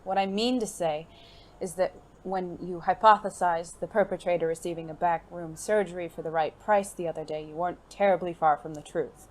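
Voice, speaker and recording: An actor playing a suave, genteel gentleman secret agent in an audio drama, with formal, refined phrasing and a playful, flirtatious, teasing manner. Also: medium pitch, fairly even intonation, a little fast, lightly acted, rough recording. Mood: composed, explaining.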